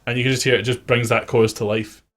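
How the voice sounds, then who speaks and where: A man's voice: mid-range voice, little pitch movement, fast, fairly bright tone. A man working as a music producer, giving an informal, conversational tutorial on his production methods.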